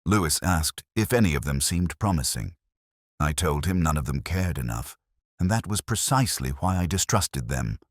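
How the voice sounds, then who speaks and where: Very deep male storyteller, dry close sound. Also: lively intonation, moderate pace, dry recording. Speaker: audio story narrator. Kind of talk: first-person detective story narration.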